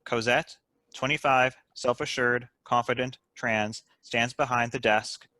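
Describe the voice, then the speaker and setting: A man's voice: mid-range voice, steady tone, measured pace, sharp tone, no room sound. A man reading stage directions as scripted narration at a comedy table read.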